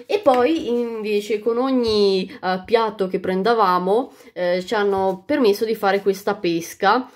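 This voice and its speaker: A woman co-hosting a casual video, speaking in a middle pitch. She sounds lively and pauses often on fillers as she explains. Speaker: vlog co-host. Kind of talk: casual chat.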